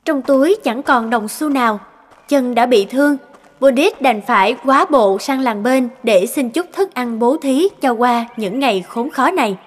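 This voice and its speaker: A woman's voice: fairly high pitch, measured pace. A woman reading scripted fairy-tale narration as a cartoon's narrator. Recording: long reverb, faint music behind.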